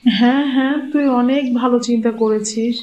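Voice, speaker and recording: A man's voice: very high-pitched, fairly even intonation, brisk, a little mellow, lo-fi sound. A man voicing a practical, forbearing old village wife in a cartoon, homely and matter-of-fact. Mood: approving, chatty. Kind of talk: cartoon story dialogue.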